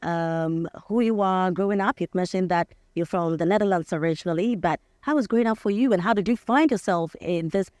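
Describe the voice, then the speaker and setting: A woman's voice: lowish voice, brisk, few pauses, a little mellow, no echo, clean studio sound. A woman in her thirties with a Ghanaian accent, chatting as the host of a live television interview. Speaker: TV breakfast show host. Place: outdoor terrace set.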